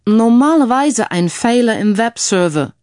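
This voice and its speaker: A woman voicing a calm male IT security expert who explains things in a mildly schoolmasterly way, mixing English phrases into the talk. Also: on the high side, unhurried, no room sound, clean studio sound, pitched above the performer's own voice. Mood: mildly lecturing. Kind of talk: audiobook character dialogue.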